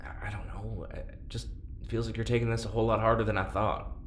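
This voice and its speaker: A man playing an awkward, gentle, self-deprecating test subject in an audio drama, speaking informally and hesitantly. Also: lowish voice, steady tone, a little fast, natural voice. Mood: awkward, apologetic. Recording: roomy echo, clear ambient noise.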